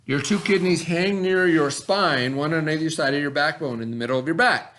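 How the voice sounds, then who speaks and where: A man's voice: on the high side, brisk, a bit bright. A middle-aged man reading a lesson aloud to children as their teacher, with simple, instructive explanations.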